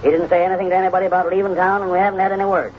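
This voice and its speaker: An actor playing a nervous, helpful office clerk in an old radio western, flustered and hasty. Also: male voice, sits high, little pitch movement, racing, lightly acted. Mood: anxious, apologetic. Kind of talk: 1930s-40s radio western acting. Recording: noisy, muffled old radio broadcast.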